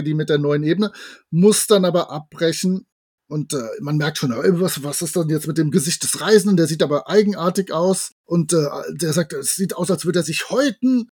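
High-pitched, husky man chatting. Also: normal speed, darkish tone. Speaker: podcast co-host. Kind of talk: casual chat retelling and reviewing an audio drama.

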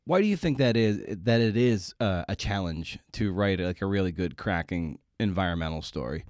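Low-voiced man hosting a podcast, close and dry. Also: expressive, normal speed, darkish tone, no echo. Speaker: podcast host. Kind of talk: casual conversational interview with scripted introduction.

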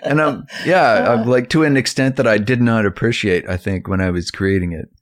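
A man's voice: fairly low pitch, normal speed. A man co-hosting a podcast, talking casually with an enthusiastic, admiring manner. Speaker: podcast co-host.

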